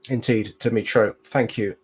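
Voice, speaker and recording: A man's voice: on the low side, fairly even intonation, normal speed, slightly rough. A man hosting a live stream interview, polite and formal, with occasional fillers. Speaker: host.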